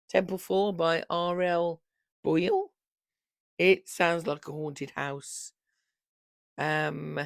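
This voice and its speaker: A woman in her fifties, hoarse from losing her voice, chatting casually and rambling about books on her vlog into a microphone on a holder. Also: low-pitched, dry recording. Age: 50s. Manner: chatty, rambling, warm fond asides. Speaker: book vlogger.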